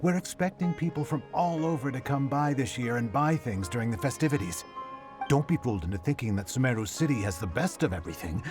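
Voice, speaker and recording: A man's voice: medium pitch, lively intonation, fast, music in the background, close to an everyday voice. A man playing a proud, enthusiastic bazaar local in a video game. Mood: proud, upbeat.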